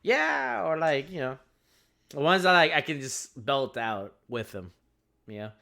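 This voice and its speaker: A young man from Venezuela co-hosting a podcast, chatting casually and reflectively with frequent pauses and restarts. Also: on the high side, lively intonation, vocal fry, bright, forceful tone. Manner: hesitant, restarts often, reflective. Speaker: podcast co-host. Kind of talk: casual chat.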